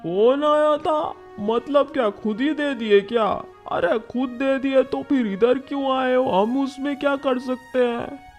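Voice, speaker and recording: A boastful male cartoon policeman who praises himself and snaps at people to hurry up, as a voice actor puts him on. He speaks slowly and deliberately, with faint music underneath. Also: very high voice, fairly even intonation. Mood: impatient. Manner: bossy, impatient, self-praising. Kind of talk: cartoon voice acting.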